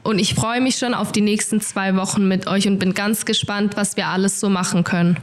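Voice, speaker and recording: A woman's voice: mid-range voice, fairly even intonation, a little fast. A nineteen-year-old woman, a trainee educator, introducing herself in a friendly, eager way. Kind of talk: interview self-introduction.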